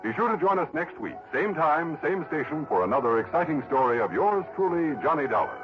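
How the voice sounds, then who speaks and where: Lively vintage radio announcer man. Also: on the high side, a little fast, music in the background. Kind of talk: 1960s radio announcing, scripted. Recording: dull vintage radio broadcast recording.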